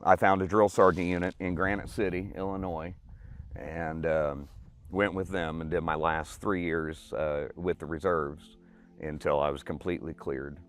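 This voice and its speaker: An American man in his forties, an Army veteran, telling his own story informally in plain, colloquial words. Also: low voice.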